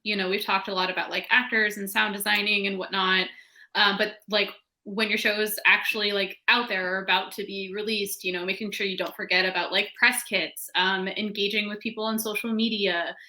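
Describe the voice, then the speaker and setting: A woman talks as a creator on a casual discussion panel. Her voice is bright and crisp-edged at an average pitch. She phrases things very informally, dropping in "like" often. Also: level tone, brisk. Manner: informal, peppered with "like". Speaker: panelist, fiction podcast creator.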